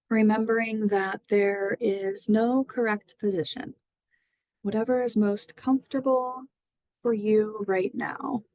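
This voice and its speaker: A woman leads a body scan meditation at an unhurried, slow pace, her voice gentle and soothing. It sits at a middle pitch and sounds dry and close to the mic. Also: dry recording, rough recording. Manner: slow, gentle, soothing guidance with long pauses. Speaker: mindfulness guide.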